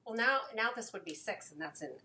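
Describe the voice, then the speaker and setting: A woman's voice: medium pitch, lively intonation, brisk, sharp tone, slight background noise. A woman hosting a card-making live stream, chatting playfully and thinking aloud while she works. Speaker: craft live stream host.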